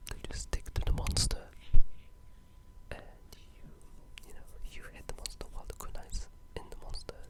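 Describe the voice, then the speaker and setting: A gaming creator speaking in a breathy, unvoiced whisper, giving rambling but enthusiastic ASMR-style game commentary. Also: moderate pace.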